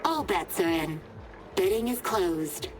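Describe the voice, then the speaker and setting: Very slow, formal female croupier. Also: lowish voice, expressive, a little mellow, soft background music. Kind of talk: video game cutscene acting.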